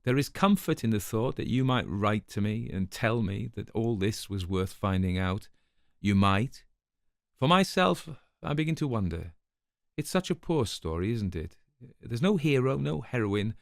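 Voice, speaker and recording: A man voicing a disillusioned gentleman writer, reading his letter aloud in a formal, wistful, literary manner. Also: on the low side, vocal fry, dry recording, clean studio sound.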